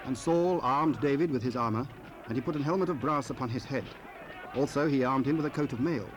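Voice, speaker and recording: A man narrating scripture in a formal, solemn and measured way. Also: mid-range voice. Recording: faintly noisy cassette rip.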